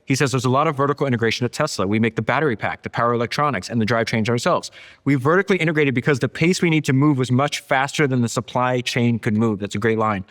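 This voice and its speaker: Fast-talking man in a reverberant room. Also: mid-range voice, fairly bright tone. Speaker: podcast host. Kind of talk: conversational podcast, reading out quotes and commenting.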